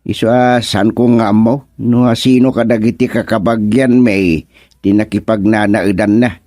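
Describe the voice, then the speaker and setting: A man's voice: lowish voice, steady tone, moderate pace, mellow, no room sound, studio quality, natural voice. A man acting a concerned, inquisitive townsman in a radio comedy, drawing out questions in a northern Filipino accent.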